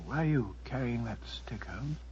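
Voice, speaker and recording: An actor playing a blustering, loyal older English gentleman sidekick who stammers and repeats himself, with a British accent, in a 1940s radio drama. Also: medium pitch, animated, moderate pace, natural voice. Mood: hushed, puzzled. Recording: dull, very noisy 1940s radio recording.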